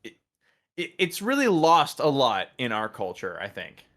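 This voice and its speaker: An American man talking casually as a podcast co-host, enthusiastic but halting, often repeating words as he works out his point. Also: medium pitch, wildly swooping pitch, fairly bright tone. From United States. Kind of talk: casual chat about a TV show.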